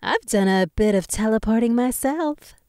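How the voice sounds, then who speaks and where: A woman's voice: on the high side, lively intonation, moderate pace, a little mellow, dry recording, studio quality, natural voice. A woman voicing a flirty, sly female bat spy who speaks in a sultry, teasing way.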